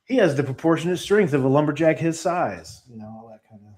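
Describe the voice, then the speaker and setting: A man's voice: a little high, animated, quick pace, a little mellow. A man who creates comic books, a podcast guest telling rambling, laid-back, self-mocking stories. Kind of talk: casual storytelling.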